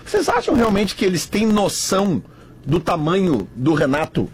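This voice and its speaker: A man with a southern Brazilian accent hosting a sports talk radio show, animated and chatty. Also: high voice, moderate pace, breathy edge. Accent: southern Brazilian, Rio Grande do Sul. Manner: animated, says "cara" a lot.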